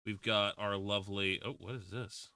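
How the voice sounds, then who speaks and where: A man's voice: fairly low pitch, fairly even intonation, moderate pace, pushed and bright, clean tone, no echo, clean studio sound. A man in his twenties, streaming a game and chatting casually, rambling with lots of fillers and restarts. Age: 20s. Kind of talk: casual livestream chat while playing a game.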